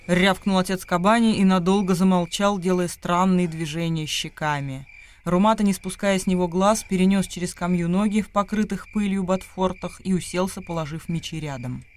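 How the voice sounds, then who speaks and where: A man's voice: high voice, normal speed. A man reading scripted literary narration as the narrator of a radio play. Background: music.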